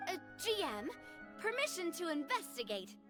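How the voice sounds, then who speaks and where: A woman's voice: very high-pitched, big pitch swings, normal speed, over music. A woman playing a confident, playful young monster hunter in a video game, sounding self-assured.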